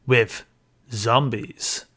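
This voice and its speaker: Bright-voiced man chatting casually about films. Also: medium pitch, steady tone, very slow. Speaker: podcast co-host. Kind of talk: casual film review chat.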